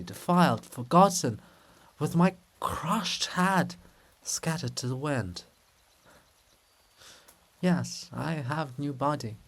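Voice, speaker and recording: Man playing a mournful, anguished old queen. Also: mid-range voice. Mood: bitter grief. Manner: slow, mournful, lamenting delivery. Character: anguished old woman, grieving statue of a queen. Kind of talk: dramatic reading of a stage play.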